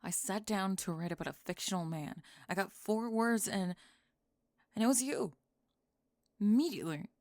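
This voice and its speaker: A woman performs a young goth college woman in an intimate ASMR audio drama. She is defensive and sharp-tongued, hiding a crush behind clipped, halting, emphatic phrases. Her voice sits at a medium pitch in a very dry, close recording. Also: no echo, natural voice. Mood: earnest, confessing. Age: young adult.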